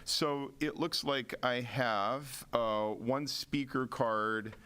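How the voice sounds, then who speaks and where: A man's voice: medium pitch, steady tone, extremely slow, bright, forceful tone, clean tone. A man chairing a city commission meeting, reading agenda items aloud with frequent filler words.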